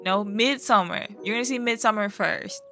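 An American woman in her twenties chatting casually as a reaction host, playful, animated and slangy, close on the microphone. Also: mid-range voice, normal speed, soft background music. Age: 20s. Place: small bedroom studio, indoors. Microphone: close boom-arm microphone.

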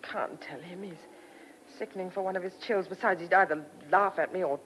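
A woman's voice: on the low side, fairly quick, fairly bright tone, a little hiss or hum, close to an everyday voice, low-quality recording. A woman playing an anxious young farm woman in a radio drama, earnest and urgent. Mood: frightened.

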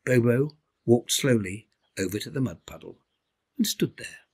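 A man in his seventies reading a children's storybook aloud in an expressive, theatrical way. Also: medium pitch, a little slow, slightly rough. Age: 70s. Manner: theatrical, animated delivery with hushed asides. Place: indoors, small timber-beamed room at home.

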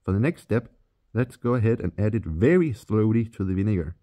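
Danish-accented man with a mellow voice. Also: fairly low pitch, lively intonation, fairly quick. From Denmark. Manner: plain, step-by-step instructional. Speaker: chemistry channel host. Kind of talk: scripted voice-over tutorial.

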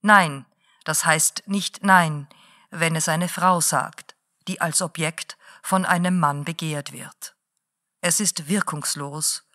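A woman playing a late-night radio presenter who lectures in a slow, deliberate and didactic way. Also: low voice, steady tone, pushed and bright, dry recording, clean studio sound, heavily acted. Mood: lecturing.

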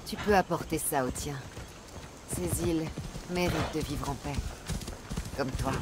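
A woman playing a bold, self-assured mercenary hero in a video game, with a warm, teasing delivery. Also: deep voice, unhurried, heavy background noise. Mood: sincere, warm. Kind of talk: dramatic game dialogue. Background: background music.

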